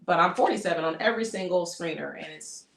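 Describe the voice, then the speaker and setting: A person in their fifties, a guest in a casual chat, speaking in a brisk, matter-of-fact way and chiming in often. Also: medium pitch, gravelly, fairly bright tone. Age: 50s. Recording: echoey room.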